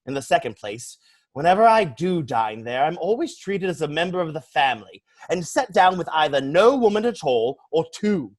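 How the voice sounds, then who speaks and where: A man acts out a young English gentleman idler from a stage comedy. His highish voice swoops about as he delivers flippant wit with airy, pompous conviction. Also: big pitch swings, moderate pace. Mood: airy indignation. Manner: pompous, airily mock-serious declaiming. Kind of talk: theatrical dramatic reading of a stage comedy.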